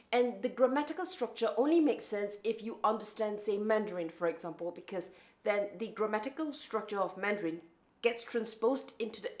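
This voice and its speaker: A woman with a light, internationally smoothed Singaporean accent, talking through a casual, reflective vlog and often restarting and correcting herself. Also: mid-range voice, a little mellow. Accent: lightly Singaporean English, internationally smoothed. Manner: chatty, with frequent restarts and self-corrections. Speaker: vlogger.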